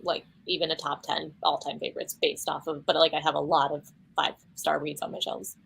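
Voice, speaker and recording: Book chat guest, woman, low husky voice, talks fast. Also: little pitch movement, fairly bright tone, poor audio. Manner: quick, lots of "like". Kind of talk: casual group chat on video call.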